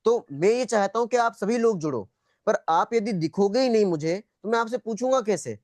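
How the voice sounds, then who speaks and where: A man's voice: high voice, animated, moderate pace, a little mellow, no echo. A man teaching an online spiritual class in a conversational, repetitive way, putting questions to students and often checking that they follow. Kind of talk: interactive lecture, questioning students. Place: online video call.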